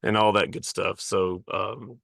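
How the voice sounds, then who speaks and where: A man with a Southern accent hosts a podcast in a friendly, easygoing way and keeps up a run of short affirmations. His voice sits on the low side. The recording is dry and close, with no room sound. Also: fairly even intonation, measured pace, gravelly, a bit bright, no echo. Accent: Southern American. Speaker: podcast host. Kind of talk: casual podcast interview.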